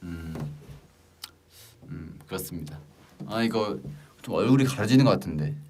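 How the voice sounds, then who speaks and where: A young man chatting casually on a livestream, reading out comments with hesitant pauses between short remarks. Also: deep voice, expressive, darkish tone, distant mic. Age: young adult. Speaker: idol group member hosting a livestream.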